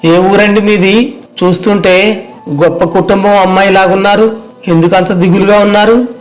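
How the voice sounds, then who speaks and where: A man's voice: high voice, fairly even intonation, normal speed, faint music behind, close to an everyday voice, low-quality recording. A man playing an earnest young village vegetable seller with colloquial rural speech in an acted moral story. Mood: concerned.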